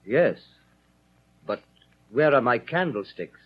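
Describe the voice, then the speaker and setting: Gentle, husky old bishop. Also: male voice, mid-range voice. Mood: gently insistent. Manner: measured, calm, solemn. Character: gentle, dignified old bishop, serene and solemnly forgiving. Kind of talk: 1930s radio drama acting. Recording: muffled vintage 1930s radio recording.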